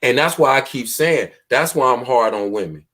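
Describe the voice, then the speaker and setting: A man with a deep voice hosting a casual podcast discussion, talking emphatically and projecting his voice. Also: animated, moderate pace, a crowd in the background. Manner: emphatic, projects his voice loudly, preachy street talk. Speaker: podcast host.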